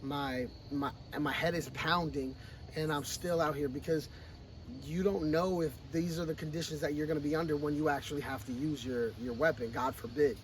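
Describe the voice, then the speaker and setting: A hoarse, under-the-weather man speaks loudly and bluntly outdoors at a shooting range, with a slightly high voice. A faint outdoor hum sits behind him as he talks straight to the camera, pushing his viewers to go out and train. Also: fairly even intonation, moderate pace, rough recording. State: sick, losing his voice. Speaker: firearms training vlogger. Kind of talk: casual talk to camera at a range.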